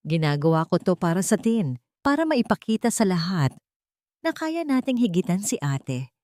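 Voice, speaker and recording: A woman voicing an angry, jealous, accusing woman in an audio love drama, with sharp taunting delivery. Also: fairly low pitch, sing-song, normal speed, no echo, clean studio sound. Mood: defensive, taunting.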